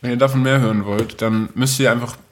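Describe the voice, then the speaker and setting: A man's voice: medium pitch, steady tone, fast. A man hosting a podcast in casual, comedic chat, riffing playfully in a rambling way. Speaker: podcast host.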